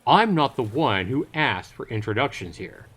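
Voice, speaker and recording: A man's voice: medium pitch, big pitch swings, normal speed, a bit bright, slight background noise. A man voicing a haughty, threatening male elf scout in a visual novel, curt and commanding.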